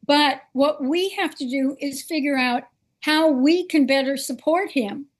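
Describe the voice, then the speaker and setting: A woman hosting a political talk show, speaking earnestly and with emphasis. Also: sits high. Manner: earnest, emphatic, slow and deliberate.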